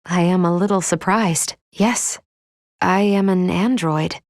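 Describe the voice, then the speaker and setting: A woman's voice: lowish voice, fairly even intonation, no echo, studio quality. Polite, precise female android. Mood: modest, surprised. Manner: formal, precise, measured phrasing. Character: polite, earnest female android crew member. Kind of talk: video game voice acting.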